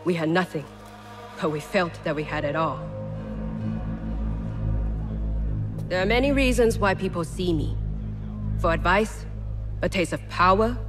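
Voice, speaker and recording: An actor playing a proud, refined, ruthless self-made treasurer, speaking in a poised and deliberate way. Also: mid-range voice, music in the background, close to an everyday voice. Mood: wistful, poised. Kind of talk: dramatic game cutscene dialogue. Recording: loud score behind.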